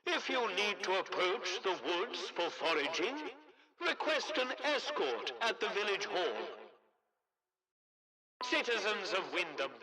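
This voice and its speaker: A man playing an authoritarian military announcer in a video game, formal, clipped and politely menacing over a village loudspeaker. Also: high-pitched. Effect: village loudspeaker, radio-like PA. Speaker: public address announcer. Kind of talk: scripted loudspeaker propaganda announcements.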